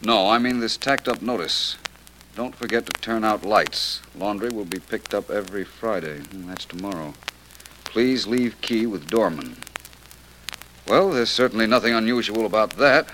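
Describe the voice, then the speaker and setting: An actor playing an urbane, self-assured amateur detective in an old radio drama, crisp and dryly authoritative. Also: male voice, fairly low pitch, moderate pace, fairly bright tone, natural voice. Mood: businesslike. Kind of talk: 1940s-50s radio drama acting. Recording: noisy old radio recording with clicks.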